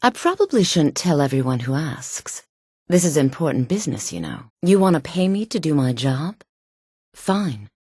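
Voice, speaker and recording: A woman's voice: very animated, normal speed, darkish tone, slightly rough, no room sound, studio quality, exaggerated voice. An actress playing a sultry, hard-edged, guarded woman in a fantasy video game, with cool, pointed delivery. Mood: businesslike. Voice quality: sultry, low-pitched. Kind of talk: video game character voice lines.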